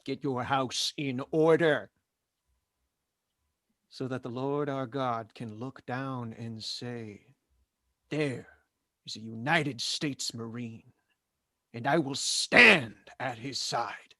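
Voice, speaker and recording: A man's voice: medium pitch, slow pace, pauses often, pushed and bright, no room sound, studio quality. A man acting a stern, pious Marine officer in a stage play read-through, preaching and commanding with emphatic delivery. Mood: stern, fervent.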